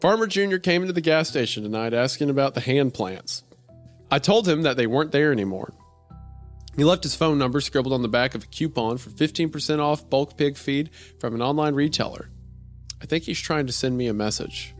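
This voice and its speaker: A man reading as a deadpan gas station clerk, telling a strange story in the first person in a dry way. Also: medium pitch, a little fast, lightly acted. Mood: dry, uneasy. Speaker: podcast host reading a horror story aloud. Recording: music behind the voice.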